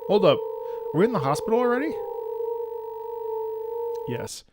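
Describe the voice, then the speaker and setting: A man streaming a video game, thinking aloud in casual, animated commentary as he analyzes the music. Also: high voice, moderate pace.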